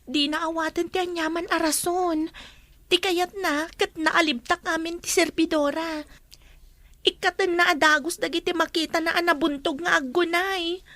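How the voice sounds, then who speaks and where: Casting: very high, bright young waitress, plaintive and distressed, radio drama, close dry sound. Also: female voice, steady tone, moderate pace, no echo. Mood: aggrieved. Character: distressed young woman, a fired waitress pleading for help. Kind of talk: Filipino radio drama acting.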